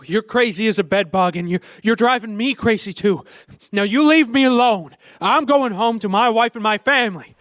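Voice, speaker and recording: Bewildered husband and father, very high pitch, agitated, stammering, radio play, close dry recording. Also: normal speed, fairly bright tone, dry recording. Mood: distraught. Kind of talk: live radio play acting.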